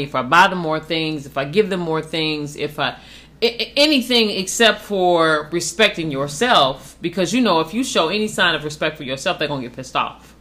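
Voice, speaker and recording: A woman's voice: low-pitched, a bit bright. A woman co-hosting a podcast, giving blunt, profane relationship advice in a casual, preachy way. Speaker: podcast co-host.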